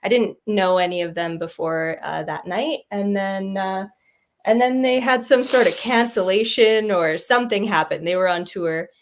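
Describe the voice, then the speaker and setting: A woman's voice: medium pitch, normal speed. A woman from Canada whose first language is sign language, a musician guest telling stories cheerfully and laughing easily. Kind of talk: casual interview chat.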